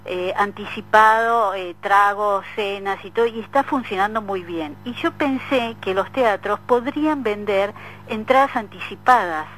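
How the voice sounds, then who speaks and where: A woman with a Buenos Aires accent talks casually as a radio interviewer. Her pitch is average for a woman, and a faint noise sits behind her voice. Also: measured pace, fairly bright tone, low-quality recording. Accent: Buenos Aires Argentine.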